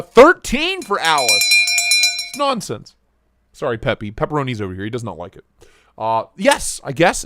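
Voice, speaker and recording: A man's voice: mid-range voice, a bit bright. A man hosting a casual live stream, joking around and greeting viewers by name, his voice starting to go. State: losing his voice.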